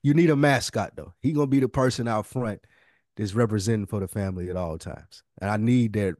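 A man's voice: lowish voice, fairly quick, darkish tone. A man co-hosting a podcast in casual chat, slangy and emphatic, often repeating a phrase to drive it home. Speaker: podcast co-host.

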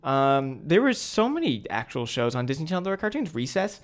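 A man's voice: a little high, big pitch swings, fairly quick. A young American man co-hosting a podcast, reminiscing informally and speaking with emphasis. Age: young adult. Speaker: podcast co-host. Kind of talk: casual chat, reminiscing.

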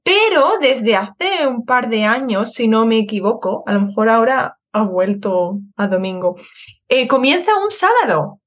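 A woman's voice: a little high, expressive, a little slow. A woman from southern Spain with a Spanish accent from Spain, a guest explaining things conversationally and correcting herself as she goes. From Seville, Spain, born in Madrid. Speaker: podcast guest, online Spanish teacher. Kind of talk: casual explanatory interview chat.

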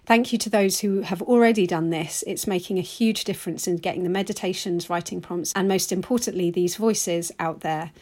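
A woman hosting a nature podcast. She reads the show notes with enthusiasm and then asks her guest questions warmly. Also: fairly low pitch, brisk, few pauses. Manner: enthusiastic, encouraging listener sounds. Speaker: podcast host. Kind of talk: scripted intro, then interviewing.

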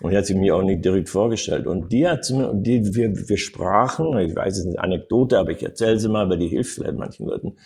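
An older man telling anecdotes as an interview guest, in an animated storytelling manner with frequent little tag words. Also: low voice, fast, hardly any pauses. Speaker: acting coach and interview guest.

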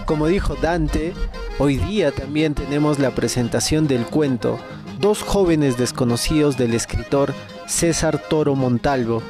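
A man with a Peruvian accent previewing a radio programme from a script as a host. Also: medium pitch. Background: music bed.

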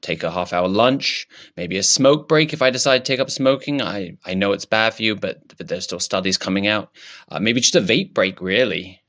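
A man's voice: fairly low pitch, a bit bright. A man improvising a shy male politician who has no opinions, talking in hesitant, stammering restarts. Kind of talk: improvised comedy character.

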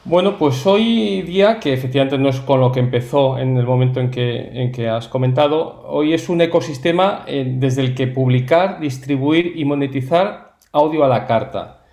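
Guy being interviewed, middle aged, mellow voice, wordy, roomy echo. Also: mid-range voice, normal speed, few pauses. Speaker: interview guest, company founder. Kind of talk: explanatory interview talk. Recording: roomy, echoey sound.

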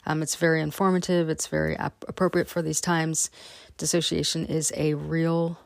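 Low, gravelly-voiced woman podcast host. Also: level tone, moderate pace, dry recording, studio quality. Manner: rambling, many ums and restarts. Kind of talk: casual podcast intro and interview.

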